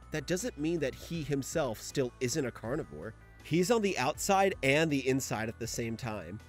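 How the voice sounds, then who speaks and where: A young man giving casual scripted manga commentary as a video essay host, informal, sweary and emphatic. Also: mid-range voice. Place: voice-over. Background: faint music.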